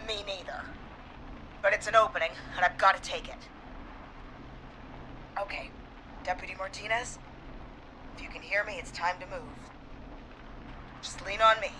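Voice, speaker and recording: A woman's voice: medium pitch, fairly even intonation, normal speed, long pauses, background noise, close to an everyday voice. A woman acting a resolute but frightened police dispatcher in a video game, urgent and hesitant under stress. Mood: hushed, resolute.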